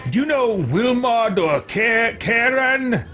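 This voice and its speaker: A man's voice: very high voice, lively intonation, takes it slowly, sharp tone, heavily acted. A man voicing a cruel, imperious elf who orders companions around like servants, theatrical and menacing, in video game roleplay. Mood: haughty, impatient. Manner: haughty, commanding, menacing. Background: game music.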